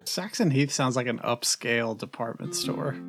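A man's voice: mid-range voice, normal speed, music in the background. A man playing a tabletop game, making dry, understated quips. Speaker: player. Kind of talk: casual chat at a game table.

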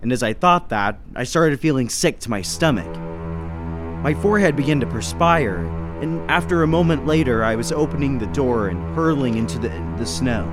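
A man's voice: mid-range voice, expressive, normal speed, over music, lightly acted. A man acting an inquisitive, uneasy traveling businessman who narrates a 1930s-style radio mystery. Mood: uneasy, reflective. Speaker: lead actor and narrator.

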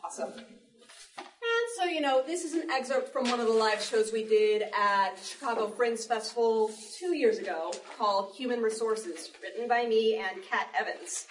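A woman giving a live demonstration talk to an audience, explaining in an animated way with playful asides. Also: a little high, moderate pace, slight background noise. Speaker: panel presenter, sound effects artist. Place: indoor library panel before an audience. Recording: echoey room, distant microphone.

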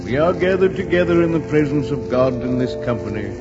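A man's voice: mid-range voice, natural voice. Guy as solemn old-radio preacher, ceremonial, music under him, muffled. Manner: slow, ceremonial. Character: solemn male minister officiating at a wedding. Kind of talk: 1940s radio drama acting. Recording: muffled 1940s radio recording.

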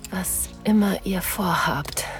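An actress playing a submissive, aroused woman, narrating intimately in a breathy, whispery voice in an erotic audio drama. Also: lowish voice. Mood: breathless, sensual. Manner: slow, sensual delivery. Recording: music behind the voice.

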